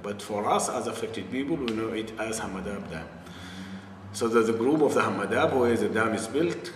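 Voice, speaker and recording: A man from northern Sudan being interviewed, speaking slowly and deliberately with many pauses and repeated words. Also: on the low side, echoey room, big-room sound, distant mic, slight background noise. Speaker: interviewee, affected community member. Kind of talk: slow deliberate explanation.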